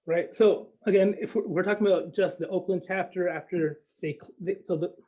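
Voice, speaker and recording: A man speaking as an academic guest in an unscripted discussion, thinking aloud in a hesitant, halting way. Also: high voice, steady tone, dull audio.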